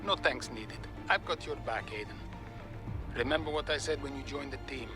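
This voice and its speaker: An actor playing an earnest, supportive racing team boss, reassuring and conciliatory, in a video game cutscene. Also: male voice, medium pitch, normal speed, lightly acted. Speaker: team principal. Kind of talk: video game cinematic dialogue. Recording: music behind the voice.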